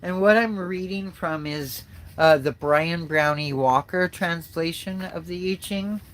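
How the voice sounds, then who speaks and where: A man with a stuffed-up nose from a cold, hosting a spiritual livestream, speaking slowly and haltingly with many fillers, then reading a passage aloud. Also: on the high side, a bit bright, a little hiss or hum. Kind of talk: slow reflective spiritual talk and reading aloud.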